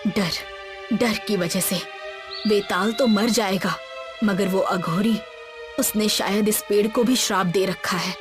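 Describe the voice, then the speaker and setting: A solemn old village grandmother, played by a woman in a horror audio story. She is maternal and fearful, speaking at a medium pitch over faint music. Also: steady tone, slow, close to an everyday voice. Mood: fearful, resigned.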